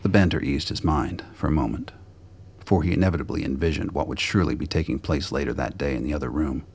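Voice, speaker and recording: A man narrates a scripted drama in an extremely deep voice. It is gravelly and husky, delivered as storytelling. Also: fast talker, a little mellow.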